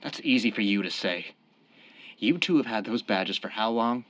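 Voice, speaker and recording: A man's voice: fairly low pitch, normal speed, fairly bright tone, no room sound, lightly acted. A man playing a desperate young family man turned mob killer in a radio crime drama, earnest and defensive. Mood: desperate, heated. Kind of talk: old-time radio crime drama acting.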